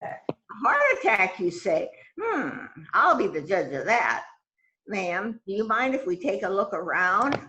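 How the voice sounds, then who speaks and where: A woman's voice: medium pitch, sing-song, sharp tone, highs cut off. A woman playing a self-assured, dramatic detective who bosses an assistant around in an amateur mystery play. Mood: probing, skeptical. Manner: deliberate, dramatic, musing. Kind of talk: amateur readers theater, radio mystery acting.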